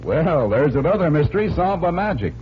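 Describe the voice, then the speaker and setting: An actor playing a magician's puzzled, easily amazed friend, exclaiming and questioning in 1940s radio style. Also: male voice, a little high, sing-song, brisk. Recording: muffled, noisy 1940s radio recording.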